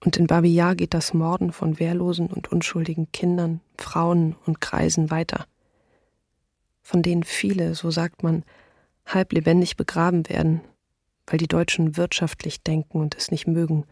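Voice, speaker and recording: Young wartime diary writer, despairing, flat husky breathy voice, very restrained radio play reading. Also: female voice, sits low, moderate pace, dry recording, studio quality. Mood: bleak, despairing. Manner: subdued, even. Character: despairing 28-year-old woman diarist in wartime.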